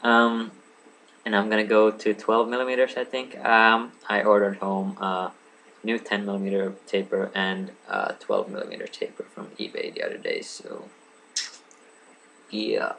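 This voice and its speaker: A man's voice: lowish voice, measured pace, gravelly, fairly bright tone, slight background noise, poor audio. A young man in his late teens, a native Swedish speaker, rambling casually to a webcam at home, full of ums, asides and apologies. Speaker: vlogger. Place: home room lit by a lamp.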